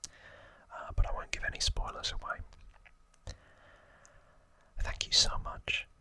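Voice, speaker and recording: A man speaking in a breathy, gentle whisper, hosting an ASMR trivia explainer close to the microphone. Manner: slow, gentle, hushed delivery. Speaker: ASMR video creator and host.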